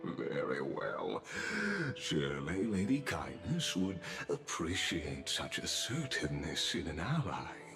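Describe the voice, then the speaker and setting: A suave, inscrutable official for a fantasy video game. He has a deep voice with dramatic rises and falls, and takes his time over every courtly phrase. The manner is polite, sly and theatrical. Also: sing-song, over music, full character voice. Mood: sly courtesy. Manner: courtly, unhurried, smooth-tongued, theatrical.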